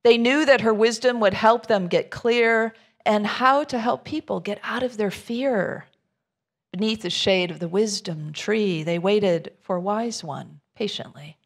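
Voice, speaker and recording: Middle-aged woman storyteller, slightly low and gravelly, unhurried, expressive delivery to a congregation. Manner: measured, expressive storytelling with informal asides to listeners. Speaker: minister. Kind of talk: reading a children's rhyming storybook aloud to a congregation.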